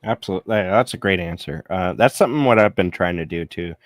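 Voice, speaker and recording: Male podcast host, low voice, dry close mic. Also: quick pace, dry recording. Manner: brief affirming replies. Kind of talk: conversational interview.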